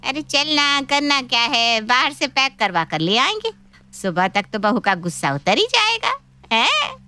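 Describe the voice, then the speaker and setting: Cartoon auntie, voiced by a woman: nosy and excitable, very high and sharp, teasing with dramatic exclamations. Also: a little slow, clear, resonant tone, heavily acted. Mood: breezy, persuading. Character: nosy, excitable visiting aunt, mother-in-law's older sister. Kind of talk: animated Hindi moral-story cartoon dub.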